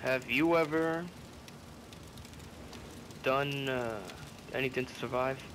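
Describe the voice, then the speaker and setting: A man playing a laid-back, wry survivor in a game roleplay, pausing as he talks. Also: medium pitch, fairly bright tone, slight background noise. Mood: calm, musing. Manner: unhurried, pausing. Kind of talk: improvised video game roleplay.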